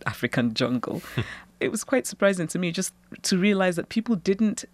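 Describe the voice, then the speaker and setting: A Nigerian woman novelist speaks in a measured, thoughtful voice. She reads her own fiction aloud and talks through questions with an easy laugh. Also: on the low side, big pitch swings, dry recording. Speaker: novelist, guest. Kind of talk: reading her fiction aloud, then interview answers.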